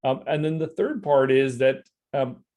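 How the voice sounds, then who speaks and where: A man's voice: medium pitch, measured pace, darkish tone. A man presenting an online company update, explaining at length and often repeating words as he thinks. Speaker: co-founder presenting.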